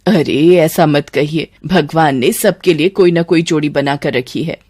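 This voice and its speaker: A woman's voice: deep voice, unhurried, darkish tone, breathy edge, no room sound, clean studio sound, pitched below the performer's own voice. A woman voicing a middle-aged mother, scolding yet caring, with a matronly, admonishing manner. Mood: reassuring.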